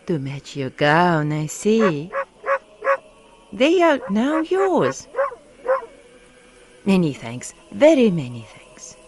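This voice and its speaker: A woman acting in a gothic radio drama as an ingratiating, ominous mourning peddler with halting, old-world phrasing. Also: lowish voice, wildly swooping pitch, full character voice. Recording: loud barking dog behind the voice.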